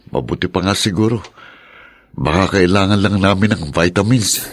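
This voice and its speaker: An actor playing a troubled, soft-hearted young Filipino husband in a radio drama, subdued and earnest. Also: male voice, low-pitched, normal speed. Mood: gloomy.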